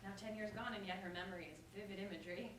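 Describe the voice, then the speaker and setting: A woman's voice: mid-range voice, very fast, a bit bright, natural voice. A woman playing a witty, proud, wounded young bride in a staged verse comedy, declaiming with sardonic bite. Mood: bitter, anguished. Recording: roomy, distant stage recording with faint noise.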